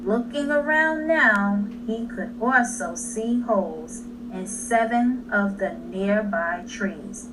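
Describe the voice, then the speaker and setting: A woman reads a children's story aloud in an expressive, dramatic way. Her pace is slow, with deliberate pauses. She sounds a bit away from the mic, with clear noise in the recording. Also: medium pitch. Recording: distant mic, clearly noisy.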